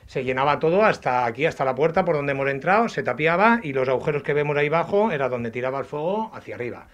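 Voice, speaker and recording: A man with a southeastern Spanish accent from Alicante explains informally and haltingly, repeating words and adding tag questions, slightly muffled by a face mask. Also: a little high, expressive. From Agost, Alicante province, Spain. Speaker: artisan potter, interviewee. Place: indoors in old pottery workshop and brick kiln. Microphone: handheld reporter's microphone.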